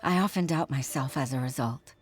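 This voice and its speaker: A woman's voice: rumbling low, a little fast. An actress voicing a humble, middle-aged secretary and mother in a video game, modest and a little hesitant. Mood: heartfelt. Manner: modest, hesitant, with soft laughs. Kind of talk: game voice acting. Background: game soundtrack music.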